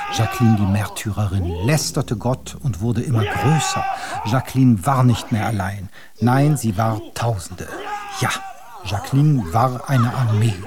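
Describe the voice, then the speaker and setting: A man's voice: mid-range voice, close to an everyday voice. A man playing the grandiloquent storyteller of a grim, absurd fairy tale, narrating a scripted radio drama straight to the listeners. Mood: excited. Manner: grandiloquent, addressing listeners directly.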